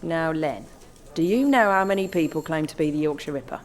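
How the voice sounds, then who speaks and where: Actor as a sarcastic British police sergeant. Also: male voice, fairly high pitch, lively intonation, a little fast, natural voice. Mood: dry teasing. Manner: sarcastic, dismissive. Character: dry, teasing, unimpressed male police sergeant. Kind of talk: British comedy radio play acting.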